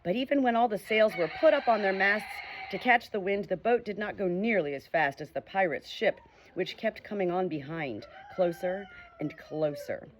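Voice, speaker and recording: A woman narrating a children's storybook as an audiobook, reading in a clear, steady way. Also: mid-range voice, normal speed, a little hiss or hum.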